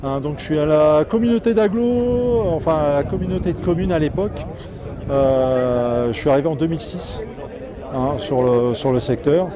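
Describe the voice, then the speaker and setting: A man, head of a police service, answering in an interview in a halting way with frequent verbal tags. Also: on the high side.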